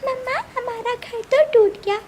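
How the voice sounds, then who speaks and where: A woman's voice: very high-pitched, steady tone, moderate pace, a little mellow, a little hiss or hum, voice pushed up. A woman voicing a small, sweet child bird in a cartoon story, plaintive and earnest. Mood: worried.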